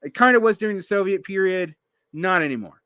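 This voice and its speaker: A man hosts a casual talk, his voice bright and fairly high. He rambles, restarting his sentences and leaning on fillers. The sound is dry and close. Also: expressive, brisk, smooth, dry recording. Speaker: podcast host. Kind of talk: casual interview chat.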